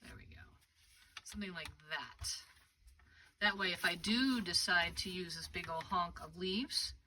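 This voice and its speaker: Woman crafter, congested, chatty running commentary while working; mic set back in the room, steady background noise. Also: on the low side, a little slow, distant mic. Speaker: craft demonstrator. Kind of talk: live craft tutorial.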